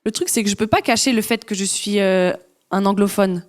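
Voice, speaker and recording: A woman interpreting a sermon live, sentence by sentence, in a relaxed way with frequent "euh" fillers. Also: medium pitch, a little fast.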